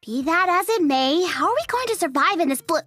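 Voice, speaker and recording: A woman's voice: very high voice, lively intonation, a little fast, a bit bright, faint music behind. A woman voicing a feisty, irritable young female android soldier in an anime game, brash and exasperated. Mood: exasperated, grumbling.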